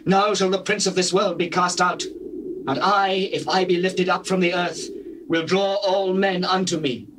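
An actor playing Jesus as a solemn, authoritative teacher, measured and declamatory, in a dramatized scripture reading. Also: male voice, sits high, steady tone, close to an everyday voice. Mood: proclaiming. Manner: measured, declamatory, archaic diction. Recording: noisy old cassette tape.

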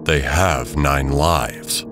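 A man's voice: extremely low pitch, very slow, over music. A man giving scripted, dramatic narration as the storyteller of an audio drama.

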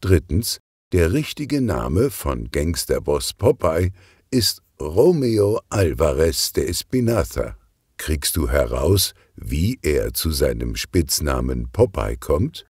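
A man narrating a children's crime story in a measured, expressive storytelling manner. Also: very deep voice, breathy edge, dry recording, studio quality. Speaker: audiobook narrator.

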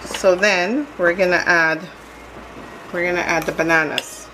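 A woman's voice: fairly low pitch, steady tone, a little slow. A woman baking at home, talking casually through each step of a recipe with chatty restarts, filmed from above her kitchen counter. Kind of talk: casual cooking demonstration. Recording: loud hand mixer noise.

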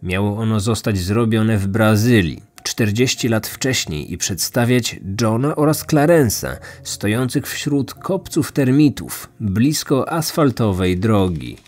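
A man's voice: fairly low pitch, animated, measured pace, hardly any pauses, a little mellow. A man hosting a podcast with scripted true-crime narration. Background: faint music.

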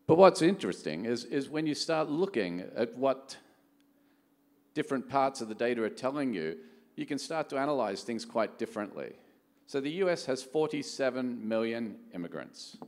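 A man's voice: medium pitch, big pitch swings, moderate pace, darkish tone. A middle-aged man gives a conference keynote in a conversational manner, often posing rhetorical questions. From Australia. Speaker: venture capital executive, keynote speaker. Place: large conference hall stage. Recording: echoey hall sound, long reverb.

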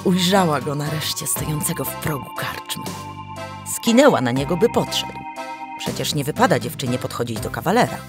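A woman narrating a folk tale as a storyteller, with an animated, dramatic delivery. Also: deep voice, normal speed, music in the background.

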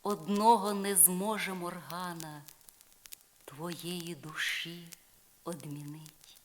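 A woman reciting verse as a calm, motherly fairy godmother, hushed, measured and soothing, on a crackly vinyl record. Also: lowish voice. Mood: soothing, solemn. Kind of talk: dramatic poetry recitation.

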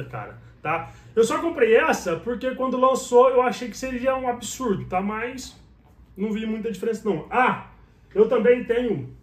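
A man in his thirties with a Brazilian Portuguese accent, chatting to the camera about his riding gear and checking in with the viewer after nearly every sentence. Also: high voice. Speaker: motovlogger. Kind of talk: casual gear tutorial to camera. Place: small bedroom, indoors. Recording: echoey bare room.

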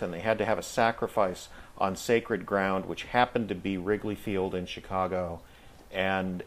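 A middle-aged American man reminiscing casually as a guest in an interview, speaking in a reflective, halting way. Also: lowish voice. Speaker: guest, audio drama actor.

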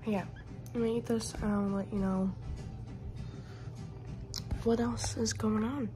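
A woman's voice: fairly high pitch, fairly even intonation, unhurried, over music. A young woman in her twenties, a college student with a cold, chatting casually to a phone camera at home, her throat scratchy and her nose congested. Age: 20s. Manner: whispering softly. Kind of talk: casual vlog chat to camera. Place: small room at home, indoors. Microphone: phone camera held close.

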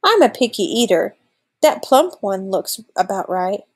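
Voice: mid-range voice, sing-song, a little slow, slightly rough, heavily acted. A woman voicing a cunning, grumbling old male wolf in a storybook. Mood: sly.

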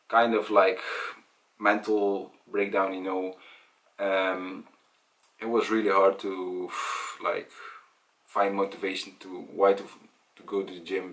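A young man talking casually to camera about himself, in a hesitant, halting way. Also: deep voice, a bit bright. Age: young adult. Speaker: vlogger. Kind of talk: casual personal storytelling to camera. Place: small indoor room at home. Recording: voice distant from the mic.

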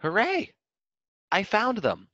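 A man's voice: high-pitched, wildly swooping pitch, slow, pushed and bright, no room sound, dull audio, pitched above the performer's own voice, full character voice. Guy doing a cheery giraffe buddy from a kids book, caring and helpful. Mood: jubilant. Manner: friendly, caring.

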